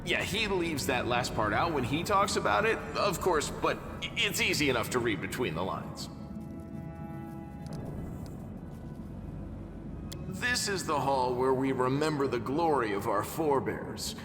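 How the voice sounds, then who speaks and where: A man's voice: medium pitch, very animated, normal speed, lightly acted. An actor playing a cocky, eager young tribesman in a video game, flippant and sardonic in manner. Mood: wry, joking. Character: wry young male mongrel underground tribesman, cocky and eager. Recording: echoey hall reverb. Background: background music.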